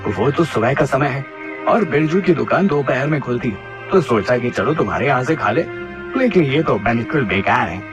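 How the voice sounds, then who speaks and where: A man's voice: mid-range voice, expressive, moderate pace, close to an everyday voice. A man playing a blunt, scolding, indignant snack customer in a cartoon moral story. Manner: blunt, complaining. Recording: clear background music under the voice.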